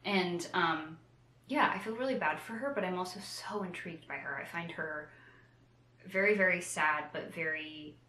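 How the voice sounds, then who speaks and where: A woman co-hosting a casual book discussion, retelling in an animated way with playful, slangy asides. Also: on the low side, normal speed. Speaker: co-host of a book discussion show. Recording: roomy echo.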